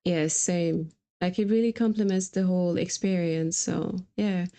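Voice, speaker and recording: A woman's voice: on the low side, fairly even intonation, a little slow, dark tone. A woman hosting a game stream in a casual, chatty style. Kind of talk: casual chat.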